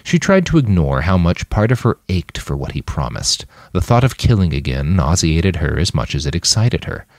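A man reads scripted fiction as narrator. His voice sits low and moves widely in pitch, and the recording is dry with no audible room. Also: very animated, normal speed, few pauses, darkish tone, no echo. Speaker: audiobook narrator.

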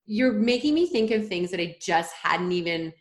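A woman's voice: fairly low pitch, moderate pace. Reflective woman host in an echoey room. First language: French. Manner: curious and reflective, thinks aloud. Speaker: podcast host. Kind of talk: casual interview conversation.

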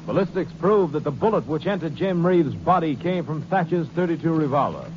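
A man's voice: fairly high pitch, animated, a little fast. A man reading the case results in grave, matter-of-fact scripted narration. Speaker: radio drama narrator. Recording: noisy lo-fi old radio transcription.

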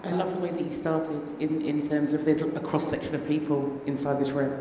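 A woman speaking on a panel, soft-spoken and restarting her phrases. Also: low voice, steady tone, brisk, slight background noise. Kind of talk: panel discussion. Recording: very echoey hall.

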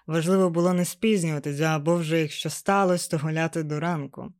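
A woman's voice: low-pitched, fairly even intonation, quick pace, a little mellow. A woman narrates a personal audio essay in a reflective storytelling way. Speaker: podcast narrator. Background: background music.

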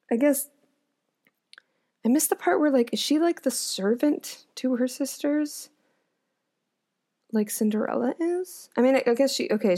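A woman's voice: mid-range voice, darkish tone. A young woman reads an old folk tale aloud in an everyday voice, stopping often to comment on the story. Her asides are casual and amused, and she chuckles as she talks.

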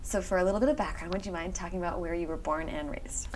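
A woman's voice: on the low side, steady tone, fast talker, darkish tone, slight background noise. A woman hosting a podcast interview in a chatty, enthusiastic manner. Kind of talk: scripted introduction and casual interview chat.

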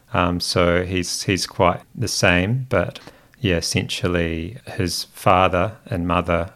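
A middle-aged man from New Zealand with a Kiwi accent, raised speaking Greek, chatting reflectively and haltingly as an interview guest. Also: low-pitched, vocal fry, a bit bright. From Christchurch, New Zealand. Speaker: podcast guest, founder. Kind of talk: casual reflective interview chat.

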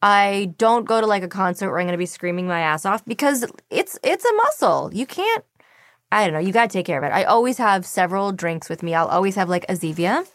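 A woman's voice: medium pitch, expressive, a little fast, dry recording, studio quality. A woman voice actor, a guest in a casual interview, talking enthusiastically and swearing now and then.

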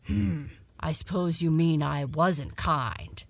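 A woman voicing a gruff but kindly male dog in a children's storybook, blunt, hearty and folksy. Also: low-pitched, slow pace, no room sound, full character voice. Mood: grudging apology.

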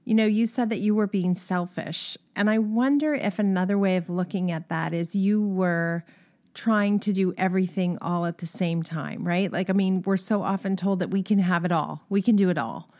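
A woman's voice: fairly low pitch, normal speed. Reassuring woman hosting an interview. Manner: warm, reassuring, thinks aloud. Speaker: interview host. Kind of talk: reflective conversational interview.

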